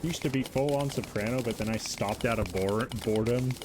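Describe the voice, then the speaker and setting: A man's voice: mid-range voice, fairly quick, background noise. A man in his thirties with a light voice, chatting casually while streaming a game and rambling through answers to his chat.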